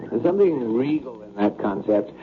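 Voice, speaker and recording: A man playing an erudite, somewhat bumbling professor in a vintage radio play. His phrasing is formal and bookish, his voice middle-pitched. It comes through thin and noisy, like an old broadcast. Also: animated, normal speed, narrow, tinny audio, natural voice. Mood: measured, wry. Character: erudite, bumbling male professor, reluctant and gentle hunter. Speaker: actor in a radio drama. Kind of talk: old-time radio drama acting.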